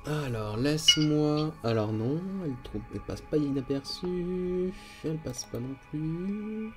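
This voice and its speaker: A man's voice: on the high side, measured pace, music in the background. Hesitant man running a tabletop game. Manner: hesitant, repeats words while thinking. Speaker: game master.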